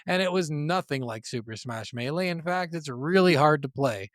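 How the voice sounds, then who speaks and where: A man's voice: a little high, normal speed, no echo, studio quality. A man chatting casually as a podcast co-host about games, swearing freely as he goes. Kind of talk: casual gaming podcast chat.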